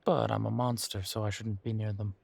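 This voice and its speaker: A man's voice: fairly low pitch, little pitch movement, a little fast, gravelly, darkish tone, no echo. A man playing a bitter, wounded werewolf confiding in his partner in an intimate audio roleplay, with a sardonic, hesitant, conversational delivery. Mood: sardonic, hurt.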